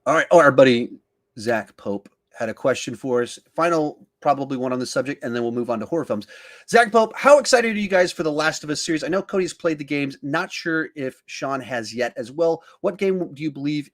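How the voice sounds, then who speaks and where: A man hosting a podcast in casual discussion, acting out his reactions in animated asides. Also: medium pitch, fairly quick. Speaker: podcast host.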